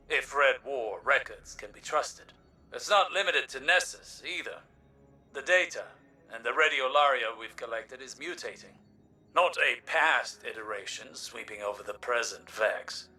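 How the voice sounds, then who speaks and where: A man's voice: medium pitch, sharp tone, recorded from a distance. A man voicing a grave, intense older scholar in a video game, formal and emphatic.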